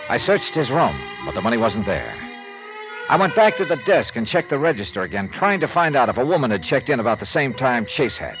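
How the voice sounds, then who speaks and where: An actor playing a world-weary, dogged American insurance investigator in a 1950s detective radio drama, narrating in clipped, terse phrases. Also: male voice, mid-range voice, natural voice. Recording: muffled vintage radio recording, loud music underneath.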